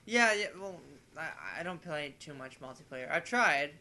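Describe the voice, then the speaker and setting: Bright, high-pitched rambling young man. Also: lively intonation, normal speed. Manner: rambling, repeats and rephrases himself. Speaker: podcast guest. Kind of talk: casual chat about video games. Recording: amateur podcast, clear background noise.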